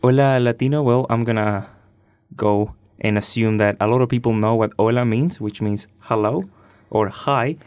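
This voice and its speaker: A nineteen-year-old man from Chile giving casual, thoughtful interview answers as a radio guest, pausing hesitantly as he goes. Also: medium pitch, fairly even intonation, darkish tone, clear, resonant tone.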